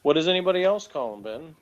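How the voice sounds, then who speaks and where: A man in a casual review discussion, arguing his point in a halting, self-correcting way. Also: a little high, big pitch swings. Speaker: podcast co-host reviewing TV episodes. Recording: dull lo-fi audio.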